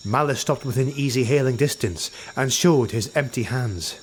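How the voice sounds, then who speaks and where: A man reading a fantasy audiobook as narrator in a measured, dramatic style. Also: mid-range voice, slight background noise.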